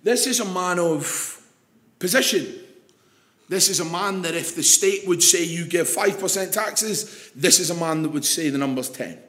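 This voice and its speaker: A Scottish man preaching an evening sermon with a slightly croaky voice, chatty and putting questions to the congregation. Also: sits high, normal speed, fairly bright tone, echoey room. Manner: conversational, asks the congregation questions.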